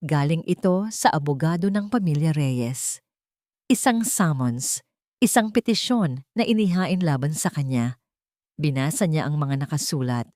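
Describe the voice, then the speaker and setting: Female storyteller, Filipino accent, lowish register, dry close sound, scripted romantic drama narration. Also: unhurried, a little mellow, no room sound.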